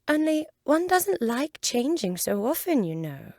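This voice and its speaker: A woman's voice: sits high, very animated, measured pace, darkish tone, no room sound, clean studio sound, pitched above the performer's own voice, heavily acted. A woman voicing a polite, plaintive little girl in a storybook, pleading and wondering with childlike expression. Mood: polite, hesitant.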